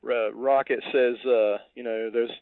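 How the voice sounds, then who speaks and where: A man co-hosting a podcast over a tinny line, casually discussing a film in a halting, thinking-aloud way. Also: medium pitch, fairly even intonation, gravelly, dry recording. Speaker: podcast co-host. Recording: tinny, dry phone-like line.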